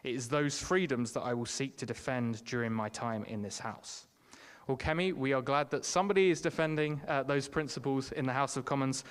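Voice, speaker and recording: Smooth-voiced man introducing a speaker. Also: mid-range voice, fairly quick. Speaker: event host introducing the speaker. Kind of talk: formal read introduction.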